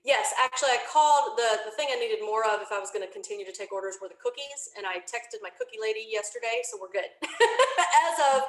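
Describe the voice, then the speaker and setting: A woman's voice: fairly high pitch, animated, fast talker, roomy sound, poor audio. A woman chatting informally as an interview guest, explaining her venture in detailed, rambling fashion. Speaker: podcast creator being interviewed. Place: indoor home office, remote video call.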